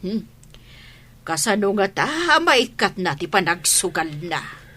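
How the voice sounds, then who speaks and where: A strict caring old granny, radio drama, bright punchy voice, very animated. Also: female voice, medium pitch, takes it slowly, breathy edge, heavily acted. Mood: exasperated. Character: stern but caring old grandmother. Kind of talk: radio drama acting in Ilocano.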